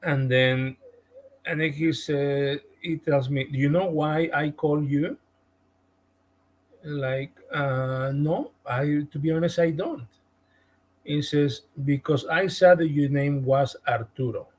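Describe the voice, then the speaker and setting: A man with a Spanish-speaking Latin American accent, a real estate agent guest telling a story in an animated podcast interview. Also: fairly high pitch, slow. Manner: animated, retells conversations with emphasis.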